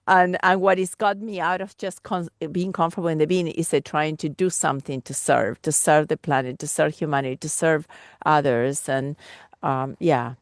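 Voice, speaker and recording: Low, dry-sounding woman from Argentina. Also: no room sound. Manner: searching, meandering, with many restarts. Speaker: caller asking a question. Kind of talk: earnest live Q&A question.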